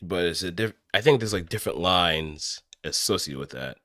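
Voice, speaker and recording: A man streaming casually, thinking aloud in a halting, musing way as he works. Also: low voice, animated, fairly bright tone, dry recording, studio quality.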